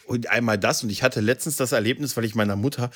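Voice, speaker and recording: A man's voice: medium pitch, quick pace, fairly bright tone. A man in a casual chat, telling anecdotes colloquially and often tagging his sentences with little checking questions. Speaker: podcast co-host.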